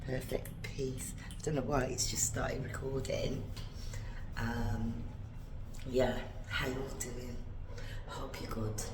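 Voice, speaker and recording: A woman with a gruff early-morning voice, chatting and praying on a devotional walk-and-talk vlog in a soft, rambling way. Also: a bass voice, level tone, slow, pauses often, noisy background. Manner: soft-spoken, rambling, prayerful. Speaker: faith vlogger. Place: walking, from a lift to outdoors.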